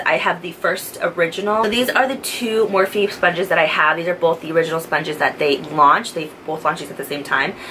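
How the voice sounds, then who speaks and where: A young woman whose voice is stuffy and congested from a cold rambles cheerfully through a makeup product review. Her voice sits a little low, and she speaks close to the camera in a home room with faint ambient sound behind her. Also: noisy background. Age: young adult. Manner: chatty, rambling, enthusiastic. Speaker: beauty vlogger. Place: home beauty room, indoors. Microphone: camera at close range.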